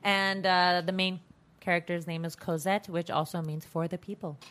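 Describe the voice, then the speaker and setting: A woman singer from Armenia with an Iranian Armenian accent, chatting on a podcast. Her voice sits a little low for a woman. She speaks candidly, in long unhurried stretches, and laughs easily. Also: steady tone, a bit bright. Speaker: singer guest. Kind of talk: casual podcast chat.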